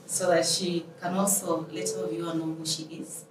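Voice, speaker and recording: Female show host, medium pitch, polite formal delivery, echoing room with faint noise. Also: little pitch movement, moderate pace. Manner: formal, courteous, speaks in proverbs. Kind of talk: interview hosting. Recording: roomy, distant mic, faint noise.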